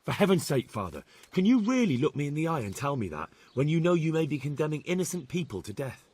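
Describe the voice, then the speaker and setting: A man's voice: a little high, very animated, quick pace, a little mellow, breathy edge, no echo. An actor playing an earnest, dogged young man who questions people politely but insistently in a video game cutscene. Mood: indignant.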